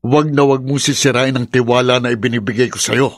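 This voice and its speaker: An actor playing a dutiful, protective elder brother, earnest and firm, in a Tagalog radio drama. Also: male voice, medium pitch, steady tone, quick pace, noisy background, natural voice. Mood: stern.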